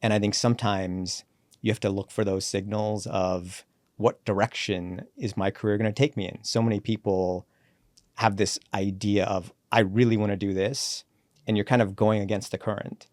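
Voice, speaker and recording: A man in his forties with a fairly low voice tells his career story as a podcast guest. He moves briskly through the story and acts out the lines he quotes. The recording is close and dry. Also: steady tone, darkish tone, no room sound. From born in Sri Lanka, raised in Canada. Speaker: guest, company CEO. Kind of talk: relaxed podcast interview, storytelling.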